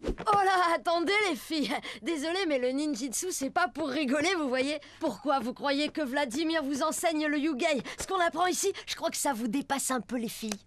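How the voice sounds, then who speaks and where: High-voiced, cocky little boy. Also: normal speed, a bit bright. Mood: condescending. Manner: swaggering, condescending. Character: cocky, boastful, teasing schoolboy ninja. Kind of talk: cartoon voice acting.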